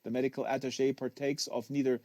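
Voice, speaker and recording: A man narrates literary fiction in a mid-pitched voice. He leans deliberately on phrases as he reads live, with the odd stumble. The sound is dry, with very little room on it. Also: level tone, a little mellow, no echo. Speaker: audiobook reader. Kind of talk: live literary audiobook reading.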